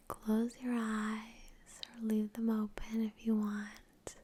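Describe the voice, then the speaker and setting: A woman guides a meditation in a soft, breathy whisper. Her delivery is very slow and soothing, with long pauses. The tone is dark and mellow and stays nearly flat, right up close to the microphone. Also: fairly high pitch. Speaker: ASMR creator and meditation guide. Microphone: close microphone in front of the face.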